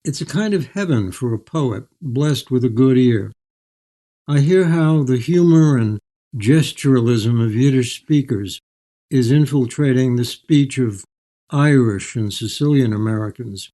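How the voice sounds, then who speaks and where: An older man speaking as an author, reflective and articulate, with measured, literary phrasing. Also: mid-range voice, dark tone. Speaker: author, interview guest. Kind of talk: reflective storytelling, reading and interview.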